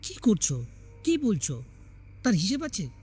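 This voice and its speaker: Guy acting doubtful young husband in a story, emphatic, kinda impatient, higher voice. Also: wildly swooping pitch, measured pace, dark tone, slightly rough, soft background music, heavily acted. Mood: agitated scolding. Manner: emphatic, questioning. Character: skeptical, protective young husband, impatient and scolding.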